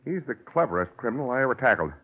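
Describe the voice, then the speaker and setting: Through a tinny old radio recording comes a grave middle-aged male district attorney. His voice moves widely in pitch, with the weight of a boss under threat in a vintage radio drama. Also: very animated, fast talker. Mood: grave, reflective. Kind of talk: 1940s radio acting.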